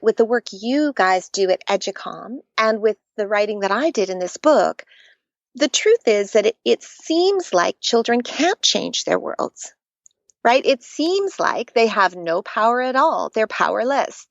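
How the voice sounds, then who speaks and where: A woman's voice: medium pitch, a little slow, vocal fry, no echo, muffled recording. A middle-aged woman from Canada with a Canadian accent, a guest author telling stories earnestly in a conversational interview. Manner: warm storytelling, earnest and animated.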